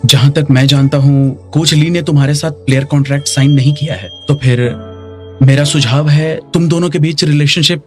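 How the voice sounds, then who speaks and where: Man playing a businesslike, higher-pitched recruiter. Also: steady tone, fairly quick, darkish tone, faint music behind, natural voice. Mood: measured, critical. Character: persuasive businesslike man recruiting a young female boxer. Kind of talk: Hindi dubbed drama acting.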